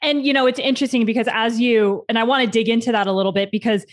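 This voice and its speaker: A woman's voice: on the high side, fairly even intonation, quick pace. A woman hosting a podcast, introducing her guest and asking questions with eager enthusiasm. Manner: warmly enthusiastic, scripted intro then chatty questions. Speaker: podcast host. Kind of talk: podcast interview.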